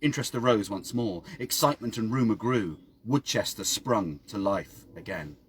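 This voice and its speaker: Measured man narrating a dark tale. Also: lowish voice, animated, slightly rough. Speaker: author reading his own story. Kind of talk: dramatic scripted story narration. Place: outdoors by a town well.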